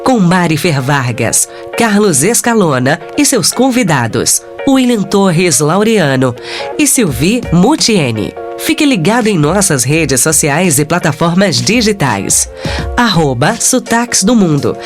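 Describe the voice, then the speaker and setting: A woman's voice: lowish voice, very animated, a little slow, over music. A woman announcer reading a scripted podcast outro. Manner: announcing, clear.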